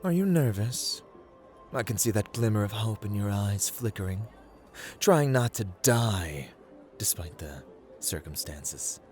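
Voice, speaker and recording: Guy voicing a smug god of luck dealing cards, asmr roleplay, slow and teasing, hushed bits, music behind. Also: on the low side, animated. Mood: smug, playful. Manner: theatrical, teasing, deliberate, dropping into hushed murmurs. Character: playful, taunting male god of luck, smooth-talking card dealer.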